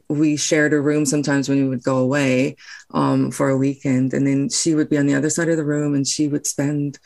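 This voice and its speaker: A woman from South Africa whose first language is Afrikaans, speaking as a podcast guest in a thoughtful, searching way with frequent pauses and restarts. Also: a bass voice, vocal fry, darkish tone. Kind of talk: reflective interview conversation.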